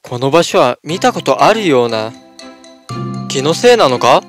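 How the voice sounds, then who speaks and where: A steadfast toy soldier in a fairy-tale cartoon. His voice sits fairly high for a man and moves dramatically up and down. He sounds earnest and devoted, with music clearly playing behind him. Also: big pitch swings, normal speed. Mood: puzzled. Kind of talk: dubbed children's fairy-tale cartoon acting.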